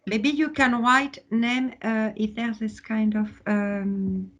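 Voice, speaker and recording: A woman with a French accent teaches students through a video call. She speaks at an unhurried pace in a slightly raised voice, pausing on fillers and laughing often. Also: fairly high pitch, steady tone, a bit bright. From France. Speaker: workshop teacher. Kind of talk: online workshop teaching.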